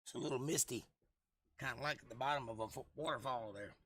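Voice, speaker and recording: Hoarse man chatting on a livestream. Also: medium pitch, fast, sharp tone, no echo. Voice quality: hoarse, froggy. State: lost voice for months, throat clearing. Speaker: livestream host. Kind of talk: casual livestream chat, replying to viewers.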